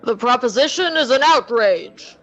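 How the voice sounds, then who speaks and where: A woman's voice: fairly high pitch, lively intonation, normal speed, a bit bright. A woman playing a pompous, indignant male traditionalist with declamatory, archaic speech in a radio drama. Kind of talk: old-time radio drama acting. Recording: dull lo-fi audio.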